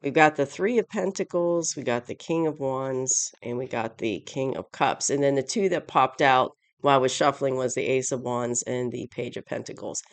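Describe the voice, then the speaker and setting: Tarot host, stuffy allergy voice and sore throat, gravelly, dry close sound, casual and rambling. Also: medium pitch, moderate pace, few pauses, dry recording. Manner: rambling, repeats and corrects phrases. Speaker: tarot reader, channel host. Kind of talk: casual weekly tarot reading to camera.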